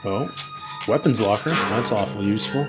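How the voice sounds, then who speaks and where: Guy with lowish voice doing a tv reaction, thinking out loud, little asides, music going behind him. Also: a little fast. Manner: thinking aloud, short asides and questions. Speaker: reaction video host. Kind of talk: casual running commentary on a TV episode. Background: TV show soundtrack.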